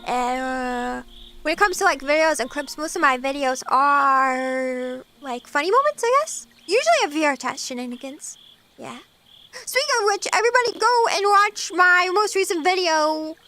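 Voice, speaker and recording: A woman from eastern Washington, streaming as a VTuber and chatting casually with viewers, bubbly and quick to giggle. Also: high-pitched, animated, pushed and bright. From eastern Washington, USA. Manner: bubbly and giggly, gasps and laughs often. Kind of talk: casual chat with viewers, reading comments.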